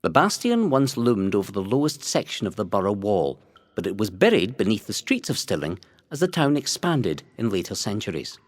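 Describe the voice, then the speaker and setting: A man's voice: fairly low pitch, big pitch swings, moderate pace, no room sound. Man narrating history, very varied intonation. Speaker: narrator of a local history audio guide. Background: music.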